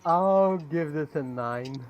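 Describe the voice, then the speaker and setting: A man's voice: on the high side, slow, slight background noise, poor audio. A man on a livestream panel, chatting casually with dry quips.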